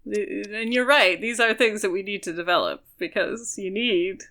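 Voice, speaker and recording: A woman's voice: mid-range voice, normal speed, bright, forceful tone. A woman co-hosting a podcast, talking in a casual, informal and chatty way. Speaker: podcast co-host.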